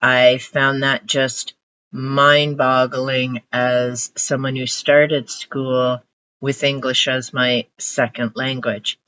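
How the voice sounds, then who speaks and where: Older woman book vlogger, Hungarian first language, unhurried reflective delivery, lo-fi sound. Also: extremely low pitch, little pitch movement, fairly bright tone. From Canada. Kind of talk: casual book talk to camera. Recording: muffled lo-fi audio.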